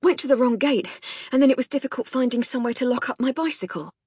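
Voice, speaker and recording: A woman's voice: medium pitch, sing-song, normal speed, fairly bright tone, slightly rough, no room sound, studio quality. A woman narrator voicing a cheerful, dishevelled young man who is eager, breezy and out of breath, in an audiobook. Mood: flustered.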